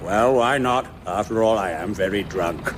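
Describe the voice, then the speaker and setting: An actor playing a blustering, drunk wartime prime minister with a British accent in a radio comedy sketch. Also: male voice, lowish voice, normal speed, noisy background, close to an everyday voice. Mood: resigned. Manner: exclamatory, indignant, grandly old-fashioned.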